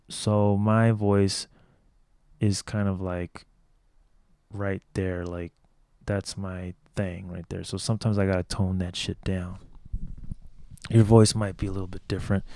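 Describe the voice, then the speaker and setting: Guy with a low mellow lower-tenor voice walking thru music mixing, loose and chatty, dry sound. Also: dry recording. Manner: loose, filler-heavy explaining with "like" and "you know". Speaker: music production tutor. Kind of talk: casual tutorial walkthrough.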